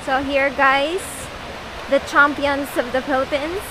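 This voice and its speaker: Excited high-voiced woman vlogging outdoors. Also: unhurried. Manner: excited, exclaiming. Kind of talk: casual travel vlog. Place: outdoors by a waterfall. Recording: loud waterfall noise behind.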